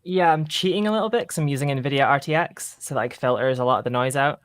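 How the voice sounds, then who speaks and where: A woman's voice: extremely low pitch, fast talker. A woman from southern Scotland with an American-influenced Scottish accent, chatting casually and tentatively as a guest. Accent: mixed American-influenced Scottish. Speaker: podcast guest. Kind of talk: casual chat.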